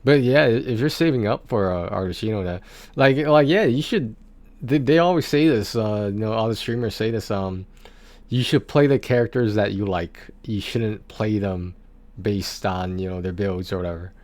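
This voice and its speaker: A man's voice: lowish voice, darkish tone, no echo. Casual, rambling man livestreaming, dry close sound. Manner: rambling, halting, hesitant delivery with repeated words. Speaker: game livestreamer chatting with viewers.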